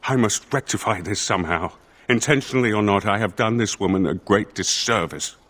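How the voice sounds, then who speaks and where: A man's voice: fairly low pitch, fairly quick, a bit bright, husky. An actor playing a grave, formal spirit inhabiting a dead man's body in a fantasy video game. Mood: troubled, grave.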